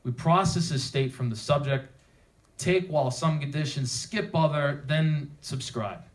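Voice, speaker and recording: A man's voice: a little high, a little slow. A man presenting a technical talk to a live audience, speaking informally and emphatically with rhetorical questions. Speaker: conference speaker. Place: theater at a developer meetup.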